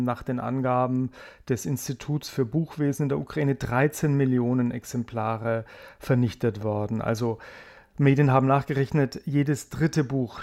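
A man's voice: medium pitch, a little mellow. Male correspondent, mid-range voice, thoughtful explainer with hesitations, live radio interview. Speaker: foreign correspondent.

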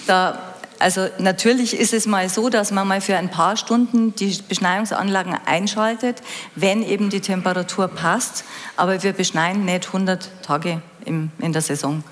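A woman's voice: mid-range voice, steady tone, moderate pace, slight background noise. A woman answering questions on a public panel, hesitant, with many restarts and fillers. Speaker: ski resort representative on a panel. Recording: hall reverb.

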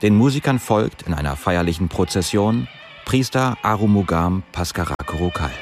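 A man's voice: low-pitched, animated, moderate pace, over music. A man reading scripted narration for a radio documentary.

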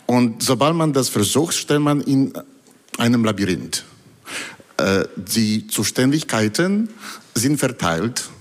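Man, non-native german speaker, very slow, halting, reads from notes, reverberant hall. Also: mid-range voice, sing-song. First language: not German. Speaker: audience member asking a question. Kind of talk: public town hall question.

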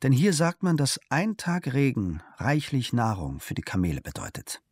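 A man's voice: medium pitch, huge pitch swings, moderate pace, a little mellow, husky, no room sound, studio quality. A man reading a literary travel diary as scripted narration. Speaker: audiobook narrator.

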